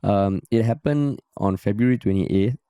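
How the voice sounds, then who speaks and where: Singaporean man, husky hoarse voice, low mellow tone, dry close sound, teasing casual talk. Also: moderate pace, no echo. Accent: Singaporean English. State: hoarse, recovering voice. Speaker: podcast host. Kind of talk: casual chat.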